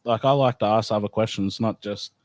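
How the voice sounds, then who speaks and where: Low-voiced man, loose and casual. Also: fairly even intonation, fairly quick, a little mellow, no echo. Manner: loose, casual, swears freely. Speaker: host. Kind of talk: casual livestream chat.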